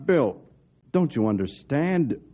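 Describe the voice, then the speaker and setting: An actor playing a gruff, worried senior forest service colonel with a stern, blustering manner in an old-time radio drama. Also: male voice, mid-range voice, sing-song, takes it slowly. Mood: exasperated. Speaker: commanding officer. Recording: muffled old radio recording.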